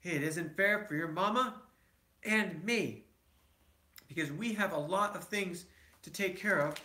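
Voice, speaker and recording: A man's voice: high voice, pushed and bright, echoey room, pitched above the performer's own voice, full character voice. A man voicing a stern but reasonable father bear in a storybook, explaining things emphatically.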